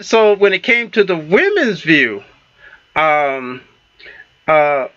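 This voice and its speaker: Southern man with bright, dull-sounding audio. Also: fairly high pitch, dry recording. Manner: halting, many fillers and restarts, laughs easily. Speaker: talk show host. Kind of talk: casual radio talk show chat.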